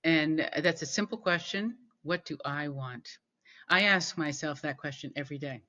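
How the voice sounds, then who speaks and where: A woman being interviewed, speaking in a bright, forceful voice. She talks thoughtfully, pausing and restarting often. The audio is muffled and lo-fi. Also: low-pitched. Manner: thoughtful, halting with fillers and restarts. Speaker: podcast guest. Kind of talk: conversational interview.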